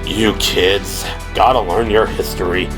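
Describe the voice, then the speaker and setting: A man plays a middle-aged police teammate for an audio drama. He sounds gruff and knowing, talking in blunt, unhurried slang with music playing behind him. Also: on the low side, steady tone, voice pushed down, lightly acted. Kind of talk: audio drama character acting.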